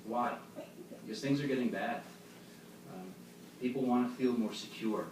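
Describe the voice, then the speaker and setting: A man's voice: mid-range voice, normal speed, a little mellow. A man delivers an instructional religious talk from some distance off the microphone. His voice rings with heavy room echo over faint noise. He explains things plainly, as a speaker addressing a congregation. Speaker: congregation speaker giving a demonstration. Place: echoey meeting hall before an audience. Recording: echoey hall, distant mic, faint noise.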